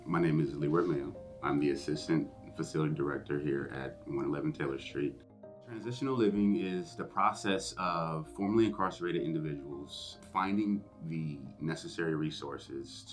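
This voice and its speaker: A man, an assistant facility director, explaining in a measured way. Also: a bass voice, soft background music.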